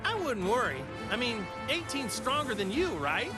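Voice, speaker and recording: An easygoing, good-natured martial arts hero from an anime game as an actor plays him. His delivery swings widely and is casual and a little naive. Clear music plays behind him. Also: medium pitch, very animated, normal speed, natural voice. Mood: casual, curious. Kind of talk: anime video game voice acting.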